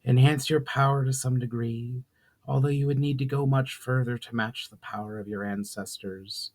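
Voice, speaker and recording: A man voicing a solemn, cryptic female spirit of fire in a dramatic fantasy reading, with formal, measured, old-fashioned speech. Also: medium pitch, fairly even intonation, natural voice. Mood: grave, instructive. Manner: formal, measured, archaic phrasing.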